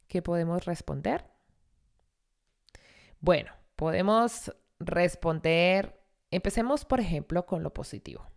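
A young woman teaches German in a podcast lesson, repeating each phrase slowly for learners. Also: fairly low pitch, creaky, darkish tone. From Colombia.

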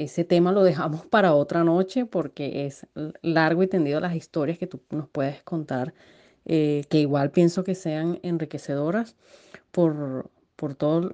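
Venezuelan lady hosting a podcast, lowish mellow voice, lots of ehs and estes. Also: muffled recording. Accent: Venezuelan Spanish. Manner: hesitant, many fillers. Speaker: podcast host. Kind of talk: podcast interview. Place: home setup, recording at night.

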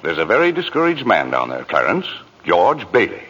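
An actor playing an authoritative, benevolent superintendent of angels in a measured 1940s radio drama style. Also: male voice, low-pitched, wildly swooping pitch, bright, forceful tone, slightly rough, exaggerated voice. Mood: grave. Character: commanding male superintendent of angels, briskly benevolent. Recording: reverberant, muffled vintage radio recording.

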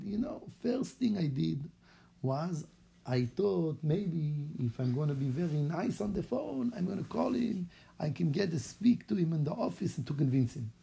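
A man's voice: high voice, vocal fry, mellow, breathy edge, heavily acted. A man putting on an eager Israeli rabbi. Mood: earnest. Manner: eager, chatty storytelling in broken English. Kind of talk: acted-out story for children.

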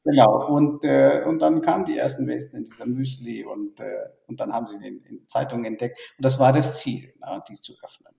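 A man's voice: mid-range voice, steady tone, a little fast, creaky, hardly any pauses, dark tone, recorded from a distance, dull audio. A man from Burma, now living in Germany, speaking as an interview guest in a reflective, hesitant way with many fillers. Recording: echoey room, off-mic.

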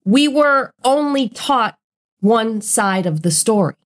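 A woman's voice: a little high, lively intonation, slow. A woman co-hosting a podcast, talking in an earnest, emphatic, blunt way in casual conversation. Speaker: podcast co-host.

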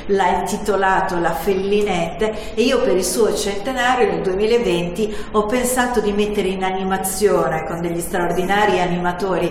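A woman film director giving a speech to present her short film at a public event. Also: mid-range voice. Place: large indoor hall at an event. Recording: very echoey hall, faint noise.

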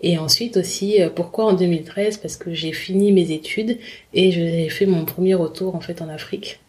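Interview guest, woman in her thirties, lower voice, halting, full of fillers. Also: steady tone, a little mellow. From Congo. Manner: hesitant, many fillers and restarts. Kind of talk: casual conversational interview.

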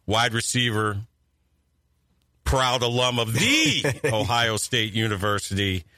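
A middle-aged man, a veteran sports anchor, hosting a podcast with a smooth broadcaster's delivery. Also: medium pitch, slow pace, pushed and bright, dry recording, clean studio sound. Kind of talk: radio-style podcast hosting.

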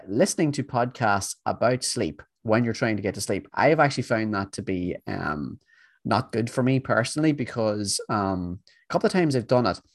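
A man co-hosting a podcast, talking in a relaxed, meandering way full of ums and restarts. His voice sits fairly low. The sound is dry and close, with no room around it. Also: no echo. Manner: rambling, with many fillers and restarts. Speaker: podcast co-host. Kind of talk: casual chat.

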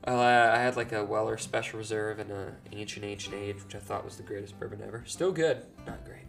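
A young man in his twenties talks loosely and easily, filling his speech with ums and restarts as he rambles through a story. He sits indoors at home. Soft guitar music plays faintly underneath. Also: fairly low pitch, brisk, vocal fry. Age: 20s. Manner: rambling, laid-back, frequent ums and self-corrections. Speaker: podcast co-host. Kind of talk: casual chat. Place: house, indoors.

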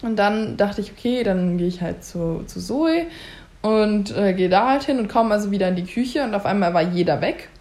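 A young woman in a casual chat, telling a story in a lively way and laughing often. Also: medium pitch, normal speed.

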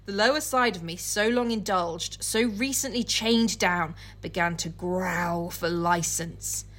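A woman's voice: on the low side, measured pace, fairly bright tone. A woman podcast co-host mixing casual, comic chat with dramatic book readings, giggly, irreverent and free with swearing.

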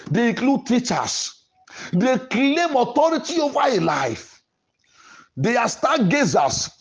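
A preacher delivering a sermon in an emphatic, declarative way, repeating phrases for emphasis. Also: medium pitch. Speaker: preacher and podcast host.

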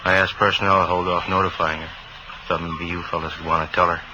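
Man playing a low, quick, flat American detective. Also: natural voice. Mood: businesslike. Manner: clipped, matter-of-fact. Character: businesslike male homicide detective, plain-spoken colleague. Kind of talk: 1950s radio police drama. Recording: old radio broadcast, clearly noisy.

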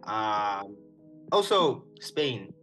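A man, co-hosting a podcast in relaxed, slangy, casual chat. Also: lowish voice, very slow. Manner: laid-back, slangy, keeps saying "dog" and "one hundred". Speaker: podcast co-host. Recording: music playing faintly underneath.

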